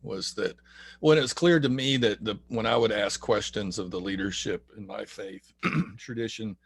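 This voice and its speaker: A middle-aged man hosting a casual interview, chatty and friendly, who clears his throat often. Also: lowish voice, expressive, normal speed, slight background noise. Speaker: interviewer, host.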